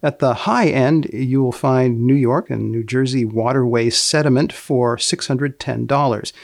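A man hosting a podcast, reading wry scripted narration and putting questions in a deadpan, precisely worded way. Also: mid-range voice, unhurried, creaky, no room sound, clean studio sound. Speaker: podcast host.

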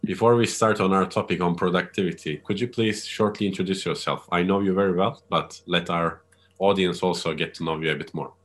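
Male interview host, low-pitched voice, courteous and measured, framing questions reflectively. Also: fairly even intonation, fairly bright tone. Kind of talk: hosted interview.

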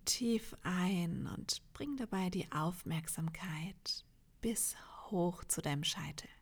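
A woman leading a guided meditation in a soft, half-whispered, soothing voice, leaving long pauses between phrases. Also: on the low side, husky. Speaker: yoga nidra meditation guide.

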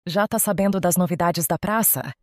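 A woman's voice: on the low side, normal speed, dry recording, clean studio sound, close to an everyday voice. A woman with a Brazilian accent playing a determined, direct teenage schoolgirl in an audio drama. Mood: determined, casual. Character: determined teenage schoolgirl running her own party.

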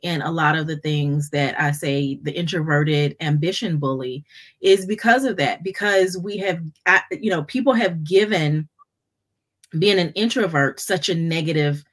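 A woman's voice: low voice, moderate pace. A woman in her fifties hosting an interview podcast, talking earnestly and repeating words as she gets going. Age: 50s. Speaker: podcast host. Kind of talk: conversational interview.

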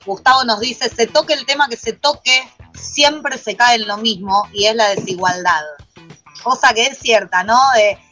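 A woman's voice: fairly high pitch, normal speed, sharp tone, faint music behind. A woman co-hosting a radio show, reading listener messages and teasing in casual chat. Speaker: radio co-host.

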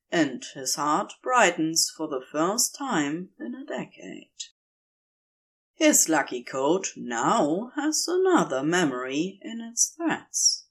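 A woman narrates a story in a slightly low voice. She reads at a slow, measured pace, and her pitch moves expressively as she goes, in the manner of a storyteller. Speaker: podfic narrator reading a story.

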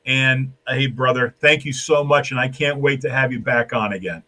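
A man's voice: mid-range voice, fairly even intonation, moderate pace, pushed and bright. A man hosting a talk show, effusive and folksy, thanking guests and viewers loosely. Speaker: show host.

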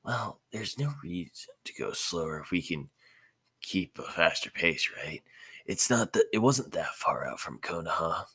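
Man playing a deep, steady ninja team leader. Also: unhurried, slightly rough, dry recording, full character voice. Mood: matter-of-fact. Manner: matter-of-fact, instructing.

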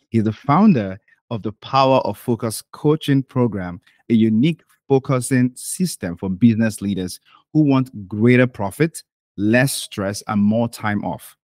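A man's voice: medium pitch, a little mellow, no echo, clean studio sound. A man hosting a podcast, introducing and questioning a guest in a formal manner. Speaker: podcast host.

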